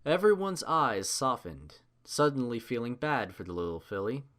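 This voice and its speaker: A male narrator reads fan fiction aloud. His mid-pitched voice is highly animated and varies a lot, in an expressive storytelling style. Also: moderate pace.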